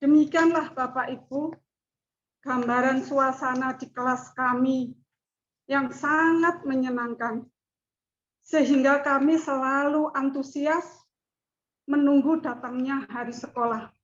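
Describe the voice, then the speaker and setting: A middle-aged woman speaks to her class in a high, unhurried voice, each phrase measured and deliberate. She presents formally as a student. The audio is dull and lo-fi. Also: fairly even intonation. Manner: slow, measured speech with chanted recitation.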